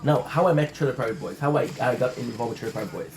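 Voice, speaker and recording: A man's voice: medium pitch, animated, fast, darkish tone, a little hiss or hum. Rambling male vlogger. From Montreal, Canada. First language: French. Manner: rambling, stammering restarts, swears casually. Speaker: channel host. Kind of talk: casual rambling vlog chat.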